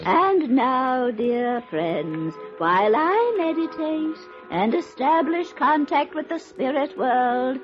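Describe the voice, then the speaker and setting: High-pitched, theatrical séance medium. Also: female voice, animated. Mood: solemn, commanding. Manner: grandiose, incantatory, drawn-out trance delivery. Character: theatrical middle-aged woman spirit medium holding a séance. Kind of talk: 1950s radio drama acting. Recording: dull vintage radio recording, faint music.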